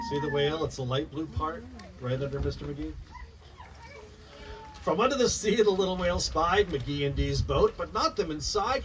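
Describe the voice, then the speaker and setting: A man reading a rhyming picture book aloud to young listeners in an expressive, animated storytelling style. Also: fairly high pitch. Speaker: children's author reading aloud. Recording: noisy, distant mic, live room ambience.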